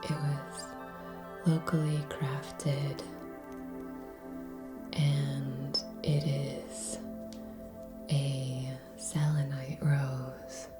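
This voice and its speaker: A woman speaking soft, breathy ASMR in a near-whisper, gently hosting with long pauses between short phrases. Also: rumbling low, little pitch movement. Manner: slow, gentle, hushed delivery with long pauses. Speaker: ASMR channel host. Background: relaxing music with singing bowl tones.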